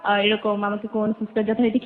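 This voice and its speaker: A woman's voice: medium pitch, monotone, brisk. A young woman with a Bangladeshi accent telling a personal story casually as a radio guest, acting out what people said. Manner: animatedly quotes friends' dialogue. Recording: thin, phone-like band-limited sound.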